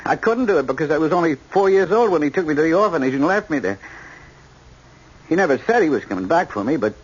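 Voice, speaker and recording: A brooding, husky, high-voiced young convict. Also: male voice, big pitch swings. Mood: brooding, confessional. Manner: halting, hesitant, searching for words. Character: jailed young male killer, brooding, frank, abandoned orphan. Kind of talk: vintage radio drama acting. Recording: dull lo-fi old radio recording.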